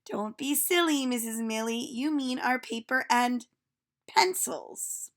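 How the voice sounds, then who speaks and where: A woman voicing giggly young schoolchildren in a storybook, gently correcting their teacher with emphatic exclamations. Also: high-pitched, takes it slowly. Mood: amused correcting. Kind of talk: storybook read-aloud for children.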